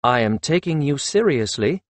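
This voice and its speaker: A man's voice: medium pitch, big pitch swings, moderate pace, no echo, natural voice. A man voicing an earnest young lord of dragon riders who speaks in a firm, formal manner. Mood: grave, firm.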